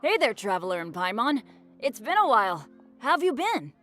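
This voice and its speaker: A woman's voice: fairly high pitch, very animated, moderate pace, fairly bright tone, soft background music. A woman voicing a friendly, earnest teenage boy adventurer in a video game, with a boyish, humble manner. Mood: friendly, casual. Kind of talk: video game cutscene acting.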